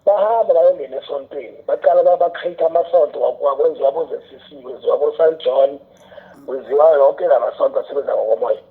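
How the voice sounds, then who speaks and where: Through a thin, phone-like band comes a South African man with a slightly high voice. He talks fast as he explains his points as an interview guest, setting up questions and slipping English phrases into his speech. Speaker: radio drama scriptwriter and teacher, interview guest. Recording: tinny, narrow phone-like sound.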